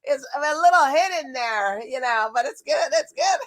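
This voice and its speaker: High-voiced upbeat woman host. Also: animated, moderate pace, a bit bright. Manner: upbeat, laughs between phrases. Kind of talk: casual interview chat. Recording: distant mic with crowd noise.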